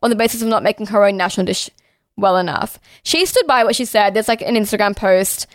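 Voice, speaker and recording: A woman's voice: medium pitch, fast talker, no echo, clean studio sound. A young Australian woman talking casually and bluntly as a podcast co-host, saying "like" constantly and swearing freely. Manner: outspoken, heavy use of "like", swears freely.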